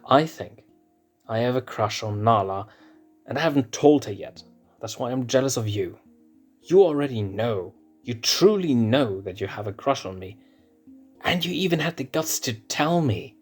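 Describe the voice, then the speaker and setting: A man voicing a brash, defensive young male lion cub prince with a blunt, gruff way of speaking. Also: mid-range voice, animated, moderate pace, faint music behind, pitched above the performer's own voice. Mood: earnest.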